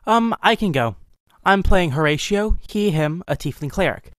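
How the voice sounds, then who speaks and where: A woman's voice: lowish voice, lively intonation, moderate pace, no room sound. A woman speaking casually and hesitantly as a player on a role-playing game podcast. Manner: hesitant, unsure. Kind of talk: casual introduction.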